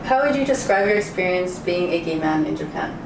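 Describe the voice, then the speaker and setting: A woman hosts and moderates casually in a slightly low voice. Her voice is echoey, with clear noise in the background. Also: animated, a little fast, recorded from a distance. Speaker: host and interviewer. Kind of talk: casual moderated group interview.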